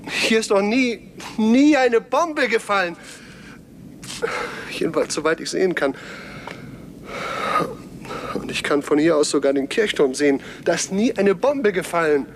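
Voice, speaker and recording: An actor playing an agitated, suspicious investigator in a 1970s German radio play, halting and repeating himself as he presses his questions. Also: high voice, expressive, a little hiss or hum. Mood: indignant, incredulous. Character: agitated, suspicious male investigator demanding the truth.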